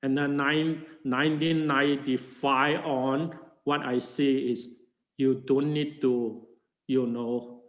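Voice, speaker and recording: Older monk, slow, hesitant English, echoey classroom. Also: male voice, medium pitch, flat delivery. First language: Chinese. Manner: halting non-native English with many fillers and restarts. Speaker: Buddhist monk teaching a class. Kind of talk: informal dharma class talk.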